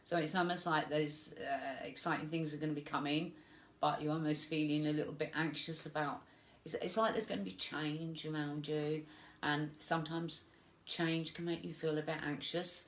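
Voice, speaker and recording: A woman's voice: deep voice, steady tone, moderate pace, low-quality recording. Congested woman giving a psychic reading. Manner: chatty, hesitant, many fillers. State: congested with flu. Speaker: psychic medium.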